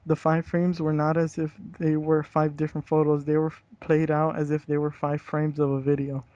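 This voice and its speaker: A young man with a slightly high, evenly pitched voice and a mellow edge. He reads a horror story like an amateur, stumbling over words, and talks in casual slang. The recording is dull and lo-fi. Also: completely flat delivery, normal speed, dry recording. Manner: stumbles over words while reading, casual slangy swearing when chatting. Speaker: reader of a scary internet story.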